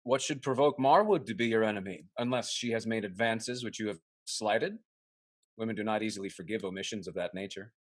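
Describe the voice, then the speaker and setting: A man playing a worldly, cynical married gentleman in a Restoration comedy, with sardonic, theatrical period phrasing. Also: fairly low pitch, fairly quick, no room sound, natural voice. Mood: needling. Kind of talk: Restoration comedy play reading.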